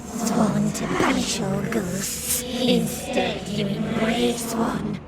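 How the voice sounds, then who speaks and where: An actress voicing a cold, accusing female spirit in a video game, solemn and deliberate. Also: mid-range voice, steady tone, creaky, background noise. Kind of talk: dramatic video game acting.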